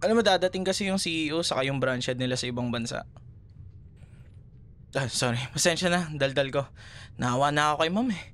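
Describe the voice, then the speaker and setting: A man's voice: on the high side, brisk, pauses often, fairly bright tone, lightly acted. A Filipino man playing a chatty, teasing café worker in a casual, naturalistic boyfriend roleplay audio. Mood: apologetic, chatty. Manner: chatty, playful, casual conversational.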